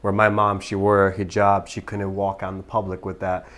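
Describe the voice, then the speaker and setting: A man's voice: low-pitched, monotone, brisk, a bit bright. A man in his thirties from Pakistan, telling his own story as a podcast guest, earnest and reflective. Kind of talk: personal story in a podcast interview.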